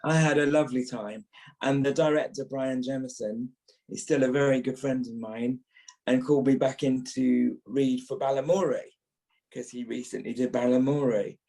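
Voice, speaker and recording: British male, early 50s, voice-trained actor, medium pitch, reminiscing podcast guest. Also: steady tone, moderate pace, vocal fry, mellow, low-quality recording. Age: early fifties.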